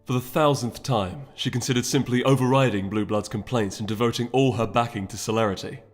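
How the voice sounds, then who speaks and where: A man reads a fantasy story as its narrator. His voice sits at a middling pitch, with music playing behind the scripted narration. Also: fairly quick. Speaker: audiobook narrator.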